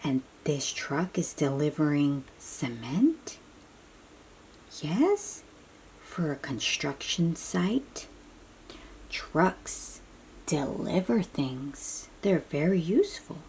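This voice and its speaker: Slow, low-voiced woman reading to children. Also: sing-song, darkish tone, slight background noise, rough recording. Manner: addresses young listeners with questions and simple explanations. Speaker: children's read-aloud host. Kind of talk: picture-book read-aloud for young children.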